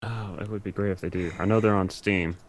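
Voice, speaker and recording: A man's voice: fairly low pitch, steady tone, quick pace, low-quality recording. A man talking as a podcast guest in a casual, conversational style. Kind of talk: casual chat about anime and games.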